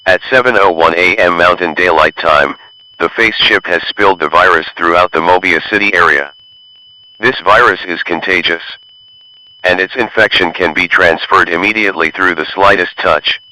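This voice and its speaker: A man's voice: low-pitched, normal speed, pushed and bright, low-quality recording. A man playing an emergency broadcast announcer, reading official warnings in a formal scripted style.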